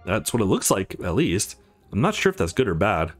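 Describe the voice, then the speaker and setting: A man's voice: fairly low pitch, big pitch swings, a little fast, pitched below the performer's own voice. A man voicing an anxious, hot-tempered, desperate young man in a visual novel, over music. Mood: worried. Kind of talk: visual novel dialogue read aloud.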